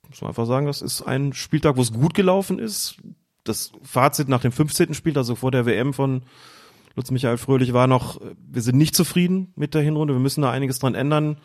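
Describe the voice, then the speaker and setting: A man calmly explaining football rules in a casual podcast chat, hesitant and full of fillers. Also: medium pitch, a little fast. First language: German. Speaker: referee rules expert guest.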